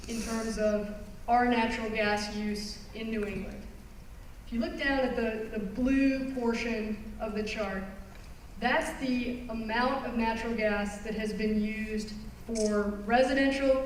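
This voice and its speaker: A woman's voice: fairly high pitch, a little hiss or hum. Woman speaking in an echoey hall. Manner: earnest, explanatory, paces phrases for emphasis. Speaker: environmental lawyer giving a talk. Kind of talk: public presentation. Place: conference hall. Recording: roomy hall recorded from far off.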